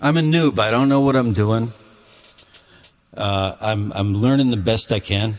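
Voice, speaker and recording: Man, music streamer, casual live chat, slow drawl, rambling, stammering restarts, grumbly. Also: lowish voice. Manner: grumbling, aggrieved.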